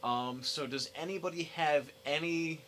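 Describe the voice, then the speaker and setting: A man's voice: a little high, normal speed, a bit bright, slight background noise. A young man hosting a casual podcast discussion. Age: young adult. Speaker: podcast host.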